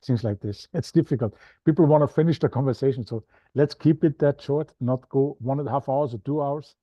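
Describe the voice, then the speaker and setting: A man's voice: medium pitch, brisk, dry recording. A man with an Austrian accent hosting an interview podcast, chatty and a little self-deprecating as he signs off. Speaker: podcast host. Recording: dull, dry lo-fi sound.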